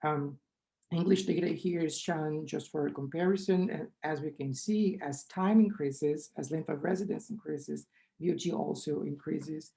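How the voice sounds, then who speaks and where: A hoarse, gravelly middle-aged man speaking English with a Brazilian accent, his tone dark and mellow. He lectures at a conference through a webcam in a small room, restarting phrases and asking "right?" as he moves through his slides. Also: fairly high pitch, normal speed, poor audio. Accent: Brazilian Portuguese. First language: Brazilian Portuguese. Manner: restarts and self-corrections, frequent "right?". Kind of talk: academic lecture over slides. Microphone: webcam on a video call.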